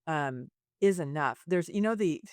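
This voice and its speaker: A woman in her late fifties with a clear, smooth voice in a dry, close recording. She talks as a podcast guest and business coach, informal and emphatic, moving easily between advice and storytelling. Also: deep voice, expressive, unhurried, a little mellow, no room sound. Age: late 50s. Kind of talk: conversational interview, storytelling and advice.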